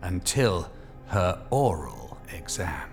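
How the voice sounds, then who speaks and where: A man's voice: low-pitched, big pitch swings, slow, creaky, over music. A man narrating a scripted dramatic story as the narrator of an audio drama.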